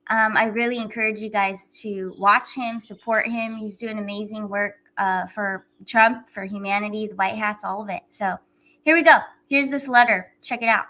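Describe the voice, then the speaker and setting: A woman hosting a casual livestream, chatty and excitable, laughing often as she talks to her viewers. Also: medium pitch, normal speed. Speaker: livestream host.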